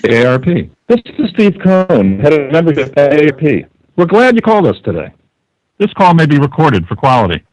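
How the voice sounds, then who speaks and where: A man reads a scripted corporate greeting at a quick pace. His voice is a bit high and very animated, heard through a dull telephone line. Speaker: company executive in a recorded welcome greeting.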